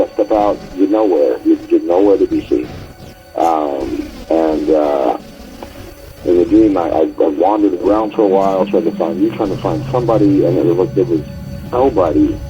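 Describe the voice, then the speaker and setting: A man playing an anxious, worried friend leaving a halting, rambling voicemail in a naturalistic audio drama. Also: deep voice, natural voice. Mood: worried, unsettled. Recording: muffled lo-fi voicemail, music behind.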